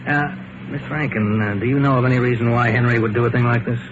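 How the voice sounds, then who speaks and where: A man playing a methodical, skeptical police investigator in an old radio mystery, businesslike and probing, with a halting delivery. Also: medium pitch, little pitch movement, close to an everyday voice. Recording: dull, noisy vintage radio recording.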